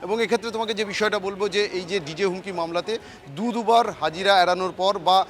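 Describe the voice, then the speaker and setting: Male live news correspondent, outdoor city setting, high pitch, rapid wordy delivery. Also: fairly bright tone. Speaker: field news reporter. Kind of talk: live breaking news report. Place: outdoors at a city courthouse compound.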